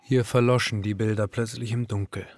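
Ein Schauspieler als ernster Erzähler eines psychologischen Hörspiels, der literarisch und gemessen spricht. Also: male voice, on the low side, steady tone, no echo, studio quality, lightly acted. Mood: grave, measured. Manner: measured, literary, serious. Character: grave male narrator of a psychological radio drama. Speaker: narrator. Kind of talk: literary radio drama narration. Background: music.

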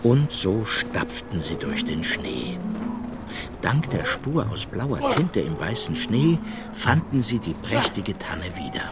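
A man narrating a children's fairy-tale radio play as storyteller, measured and vivid. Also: on the low side, big pitch swings, loud music behind the voice.

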